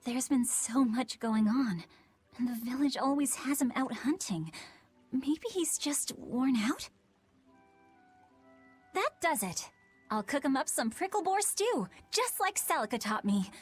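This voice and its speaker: An actress playing a caring, earnest teenage village huntress in an anime-style video game. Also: female voice, sits high, lively intonation, a little slow, husky, faint music behind, full character voice. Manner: earnest, determined. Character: caring, spirited teenage village girl, a hunter.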